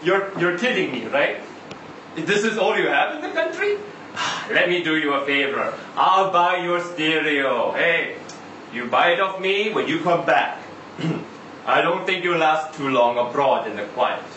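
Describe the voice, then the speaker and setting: A man's voice: fairly high pitch, sing-song, sharp tone, lo-fi sound, heavily acted. Male actor as chatty Israeli cab driver, swooping intonation, insistent, sentimental, live monologue, distant echoey mic. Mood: pleading, bargaining. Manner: conversational, halting, with rhetorical questions and repeated tags. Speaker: reader at a live literary event. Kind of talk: dramatic monologue reading of a short story. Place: indoor live reading event. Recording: roomy live venue, distant mic, faint noise.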